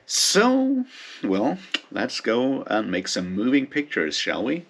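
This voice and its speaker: A man's voice: mid-range voice, huge pitch swings, takes it slowly, vocal fry, fairly bright tone, slightly rough. A man giving a casual, unscripted book review as a host, speaking informally in rambling, hesitant phrases.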